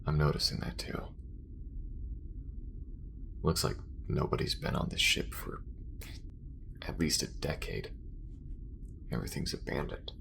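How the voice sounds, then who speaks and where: A man playing a casual, protective spacefaring crewmate in an ASMR sci-fi roleplay, hushed, unhurried and musing. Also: a bass voice, creaky, noisy background, full character voice. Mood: casual, pensive.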